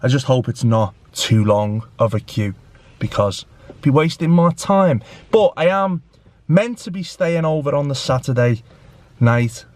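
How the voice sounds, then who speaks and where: A man's voice: medium pitch, animated, a little slow, darkish tone. A young man talks to his camera in a loose, rambling vlog. He pokes fun at himself as he goes. His voice carries a trace of a recent bout of flu. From England. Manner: chatty, self-mocking, rambling. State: recovering from flu. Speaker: vlogger. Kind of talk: casual vlog chat to camera.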